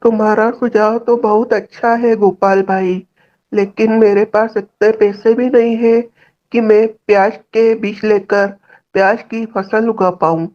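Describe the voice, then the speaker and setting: A woman's voice: medium pitch, fairly even intonation, a little slow, highs cut off, close to an everyday voice. A woman voicing a poor, honest male farmer in a cartoon village story, speaking earnestly. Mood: worried. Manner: earnest, pleading. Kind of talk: Hindi cartoon story acting.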